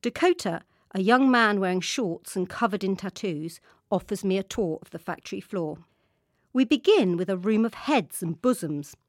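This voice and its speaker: Woman, radio correspondent, calm wry narration, mid pitch, dry sound. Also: normal speed, dry recording. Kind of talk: scripted reported narration.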